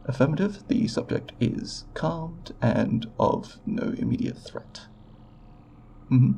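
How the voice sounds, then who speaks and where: A man playing a timid, scholarly alien researcher in an ASMR roleplay, speaking haltingly and politely in formal scientific language with a creaky voice. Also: fairly high pitch, animated, warm tone, a little hiss or hum, full character voice. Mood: formal, businesslike. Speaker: roleplay voice performer.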